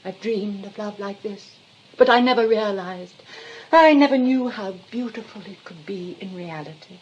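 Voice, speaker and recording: A woman's voice: mid-range voice, moderate pace, darkish tone, highs cut off, natural voice. A woman playing a vain, self-dramatising retired actress and mother in a stage comedy, with a British accent and a grand, histrionic manner. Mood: melodramatic. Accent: British English. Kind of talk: theatrical stage comedy acting.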